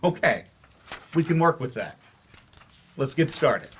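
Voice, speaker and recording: A man's voice: fairly high pitch, sing-song, measured pace, a bit bright, slight background noise. A man playing an earnest, wry writer turned remedial English teacher in a staged play reading. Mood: sincere, hopeful. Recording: live staged reading in a library.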